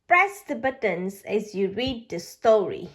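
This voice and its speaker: A woman reading a children's storybook aloud for toddlers, with a halting delivery and the odd slip. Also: mid-range voice, very animated. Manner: halting reading with slips and pauses. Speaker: children's book reader on a video channel.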